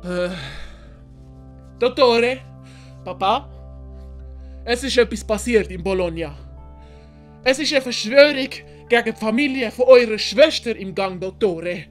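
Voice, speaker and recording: A woman voicing an earnest young male student in an audiobook, halting and hesitant. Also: on the high side, expressive, faint music behind. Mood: hesitant, worried.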